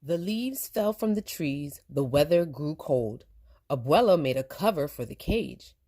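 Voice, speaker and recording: A woman reading a children's story aloud as a storytime teacher, expressive and deliberate. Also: low voice.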